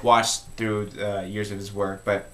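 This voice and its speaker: A man's voice: fairly low pitch, level tone, unhurried, fairly bright tone. A man talking informally as he hosts an instructional music tutorial.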